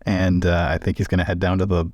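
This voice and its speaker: Deep-voiced man in a dry, close recording. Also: little pitch movement, fast, darkish tone, no room sound. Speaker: tabletop game player. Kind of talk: casual improvised roleplay, describing his character.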